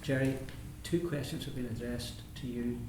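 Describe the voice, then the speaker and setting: A man chairing a public meeting, brisk and focused on keeping things moving. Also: medium pitch, steady tone. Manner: brisk, managing time, short interjections. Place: large meeting hall. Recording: echoey hall, background noise.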